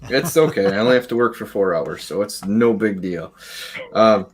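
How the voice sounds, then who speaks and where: Woman, very low pitch, animated intonation, dry asides. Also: moderate pace. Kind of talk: casual livestream chat.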